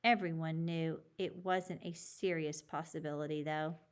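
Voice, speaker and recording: A woman reading a children's book aloud in a measured, clearly phrased storytelling delivery. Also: sits low, fairly even intonation.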